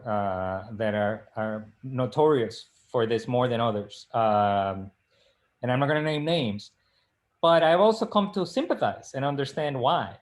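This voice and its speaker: A middle-aged man from the Dominican Republic talks over a video call in a middle pitch. He keeps stopping to fill gaps with "uh" and restart his sentences, as a co-host in a relaxed discussion. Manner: halting, many "uh" fillers and restarts. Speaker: librarian and co-host. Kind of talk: casual live-stream discussion.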